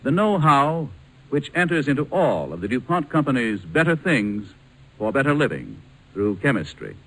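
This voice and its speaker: An American man announcing on 1940s radio, reading scripted commercial narration in a measured, lyrical manner. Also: mid-range voice, wildly swooping pitch, rough recording. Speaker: radio announcer and sponsor spokesman.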